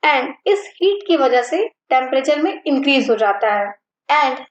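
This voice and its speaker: A woman teaching physiotherapy, slowly listing points and mixing Hindi with terms. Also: high-pitched, sing-song, reverberant. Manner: mixes Hindi and English terms, list-like delivery. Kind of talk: slow, deliberate lecture reading.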